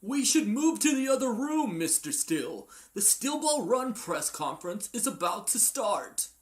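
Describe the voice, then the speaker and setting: A man's voice: sits high, sing-song, unhurried. A man in an amateur fan dub playing an anxious, formal aide to a race promoter. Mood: deferential.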